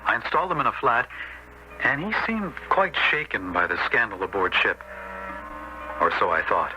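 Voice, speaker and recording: An actor playing an earnest, moralizing brother in a radio drama, speaking in a righteous, deliberate way. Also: male voice, on the low side, over music, natural voice. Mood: regretful. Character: earnest, sanctimonious, moralizing brother, a decent man. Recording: slightly noisy old radio recording.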